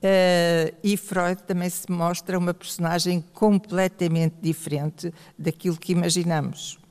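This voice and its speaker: A woman with a European Portuguese accent, an author talking about her book at a panel, halting and full of fillers and restarts. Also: lowish voice, little pitch movement, a little mellow, clean tone. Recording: reverberant hall.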